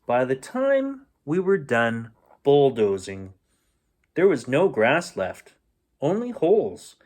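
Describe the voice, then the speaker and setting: A man reading a children's storybook aloud in an expressive, playful storytelling manner. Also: mid-range voice, takes it slowly. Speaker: bedtime story reader.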